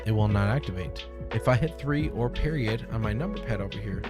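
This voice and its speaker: A young man hosting a casual software tutorial, speaking informally into a close desk microphone. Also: on the low side, lively intonation, a little fast. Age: young adult. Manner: informal, conversational. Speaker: tutorial host. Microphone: close desk microphone on boom arm. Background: music.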